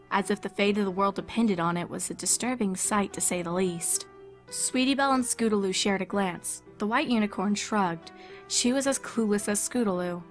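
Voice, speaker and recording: Woman narrating a story over soft music. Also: mid-range voice. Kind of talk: scripted storybook narration of fan fiction. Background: background music.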